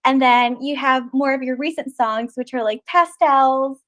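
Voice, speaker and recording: A woman's voice: high voice, fairly even intonation, unhurried, fairly bright tone. A young woman hosting a casual interview, hesitant with many ums and small giggles. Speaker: host.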